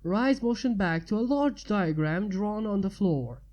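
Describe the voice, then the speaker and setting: A woman's voice: mid-range voice, lively intonation, a little slow, a little mellow, muffled recording. A woman narrating fan fiction as a story reader.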